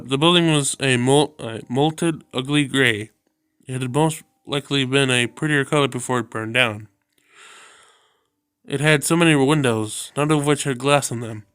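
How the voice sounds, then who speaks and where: A man reading a visual novel aloud, telling the story in a subdued, steady way. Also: mid-range voice, a little slow, clear, resonant tone, no room sound, clean studio sound. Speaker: video game narrator.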